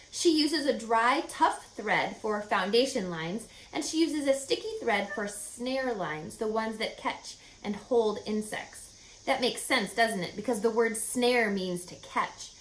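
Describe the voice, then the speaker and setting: A woman reading a children's story aloud in an expressive, emphatic and explanatory way. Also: medium pitch. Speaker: storybook reader. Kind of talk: animated children's read-aloud narration. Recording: roomy echo with faint background noise.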